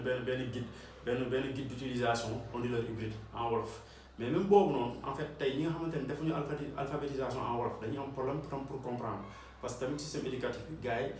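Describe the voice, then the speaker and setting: A Senegalese-accented man speaking earnestly as an electrician encouraging his followers. He sits back from the microphone in a very echoey room, and faint ambient noise hums underneath. His pitch sits in an ordinary middle range. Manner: earnest, repeats points for emphasis. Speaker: electrician and channel host. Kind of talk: motivational talk to camera. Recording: roomy echo, distant mic, faint ambience.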